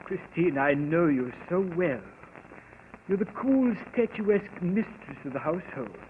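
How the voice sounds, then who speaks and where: An actor playing a cruel, taunting husband in a 1940s radio drama, with a sneering, interrogating delivery. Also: male voice, a little high, expressive, measured pace. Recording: old radio broadcast, tinny and hissy.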